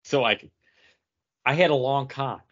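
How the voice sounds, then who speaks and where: A dull, slightly lo-fi recording of a man with a middle-pitched voice. He talks casually as a podcast co-host, in an everyday conversational style. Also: normal speed, fairly bright tone. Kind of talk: casual chat.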